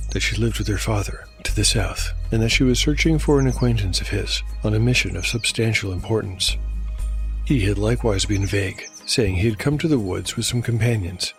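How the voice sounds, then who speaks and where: Low-voiced male narrator over faint music. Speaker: narrator and podcast host. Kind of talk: scripted narration.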